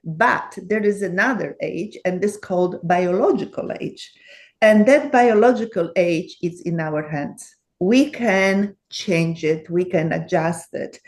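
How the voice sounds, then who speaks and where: A woman's voice: fairly low pitch, measured pace, a little mellow. A woman in her seventies from Poland with a Polish accent, answering interview questions earnestly with many fillers and restarts. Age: 70s. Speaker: guest author.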